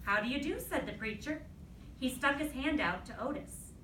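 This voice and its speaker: A woman teacher reading aloud to a class and giving pupils deliberate, instructive directions, checking that they follow along. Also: high voice, expressive, pushed and bright. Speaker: elementary school teacher. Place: classroom, recorded from a distance. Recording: roomy, distant mic, faint noise.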